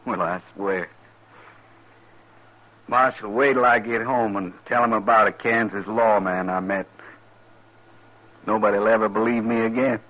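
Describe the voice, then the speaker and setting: A low-pitched actor playing a humble western drifter, folksy and deferential. He talks hesitantly in old radio drama style, on a muffled recording. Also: steady tone, measured pace, long pauses, a little hiss or hum, lightly acted. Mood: grateful, marveling. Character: humble, grateful, puzzled frontier drifter, grown man. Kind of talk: 1950s radio western acting.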